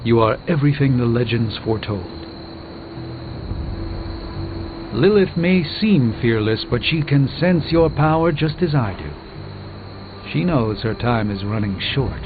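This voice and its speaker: An actor playing an earnest, formal superhero sorcerer burdened by regret in a video game, speaking in an articulate, measured way. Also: male voice, mid-range voice, lively intonation, narrow, tinny audio, background noise. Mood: grave. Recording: band-limited game audio with background music.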